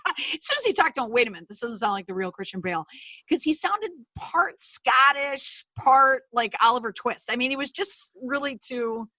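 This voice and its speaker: A middle-aged woman hosting a casual talk on public relations, animated, laughing often and thinking aloud. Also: high voice, normal speed, no echo. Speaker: podcast host, PR commentator. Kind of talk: casual conversational commentary.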